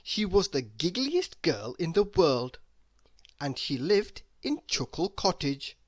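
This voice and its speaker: A woman reading a children's story aloud, expressive and deliberate, with dramatic emphasis. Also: on the low side. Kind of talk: children's read-aloud narration.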